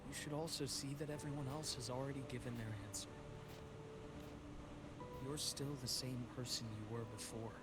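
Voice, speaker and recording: A man voicing a gentle, reflective doctor in a video game story, speaking in a measured, reassuring and philosophical way. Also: medium pitch, steady tone, close to an everyday voice. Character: gentle, reflective male doctor consoling an old friend. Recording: loud music behind the voice. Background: loud game music.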